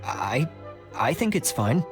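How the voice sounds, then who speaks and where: Hesitant young male game character: slow, halting, mild, lively pitch, music clearly behind. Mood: flustered. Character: timid, hesitant, easygoing young male candidate. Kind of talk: scripted video game acting.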